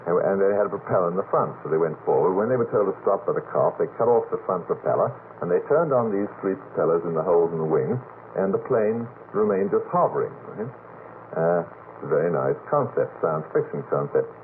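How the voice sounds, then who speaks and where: A low, deep male voice comes through a narrow, hissy old radio recording. He is middle-aged and talks conversationally in a halting, rambling way, doubling back on words and asking if he is being followed. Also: lively intonation. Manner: halting, repeats words, seeks agreement. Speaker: radio panel talk participant. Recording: noisy vintage late-night radio, narrow tinny band.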